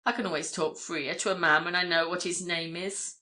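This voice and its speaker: A woman's voice: lowish voice, steady tone, a little fast, pushed and bright. A woman voicing a shy, genial middle-aged farmer with a rustic English accent and a rambling, deferential, folksy manner in a story reading.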